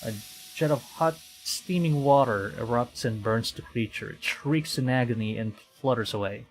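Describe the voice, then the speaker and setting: A man livestreaming a visual novel, reading it aloud with excitable, dramatic delivery and chatty casual asides. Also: medium pitch, moderate pace, poor audio. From Philippines. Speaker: streamer. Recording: music behind the voice. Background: game music.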